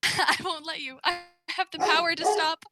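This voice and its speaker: A woman's voice: very high-pitched, steady tone, moderate pace, over music. A woman playing an emotional, protective young female cousin in a dramatic script reading. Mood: desperate. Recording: noisy mic with clicks.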